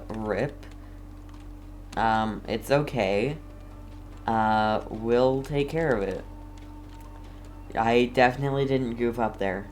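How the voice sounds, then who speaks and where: Slow-talking man doing casual gameplay commentary. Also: lowish voice. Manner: dry, understated joking. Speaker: gamer, streamer.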